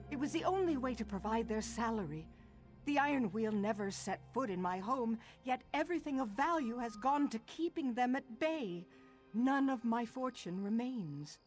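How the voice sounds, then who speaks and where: A woman's voice: a little high, close to an everyday voice. A woman playing a lonely, remorseful middle-aged noblewoman in a video game, pleading in formal speech. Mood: distressed pleading. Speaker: game character. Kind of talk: dramatic game dialogue.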